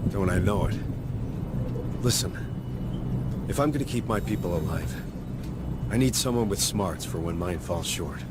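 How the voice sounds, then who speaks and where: A man voicing a gruff, plainspoken marine sergeant in a video game, commanding yet protective, with blunt, folksy military talk. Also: low voice, lively intonation, normal speed, long pauses, heavy background noise. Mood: firm, earnest.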